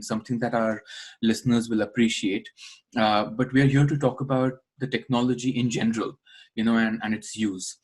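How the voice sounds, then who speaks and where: A man's voice: mid-range voice, breathy edge. A man hosting a remote interview, encouraging his guest with frequent short affirmations. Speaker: interview host.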